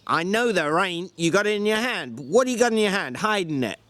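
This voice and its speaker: Man acting an irritable, high-pitched little drifter. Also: animated, fairly quick, no room sound. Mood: scolding. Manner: sharp, scolding, rustic slang. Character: small, irritable, bossy drifter man, exasperated but protective.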